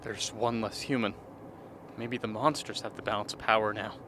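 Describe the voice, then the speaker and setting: A man's voice: on the low side, steady tone, normal speed, background noise, natural voice. A man playing an exasperated, unnerved camp member in a declamatory radio drama style. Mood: grim.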